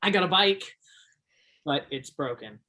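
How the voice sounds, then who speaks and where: A man's voice: fairly high pitch, lively intonation, measured pace, pushed and bright. A man acting a shy, naive, earnest young shop worker in a stage play, plainspoken. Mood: shy, awkward.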